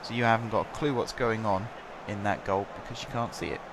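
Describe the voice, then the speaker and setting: A man's voice: on the low side, fairly even intonation, a little fast, background noise. A young man with a sore throat giving rambling, chatty commentary over a football management game. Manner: chatty, rambling, filler-heavy. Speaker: gaming commentator.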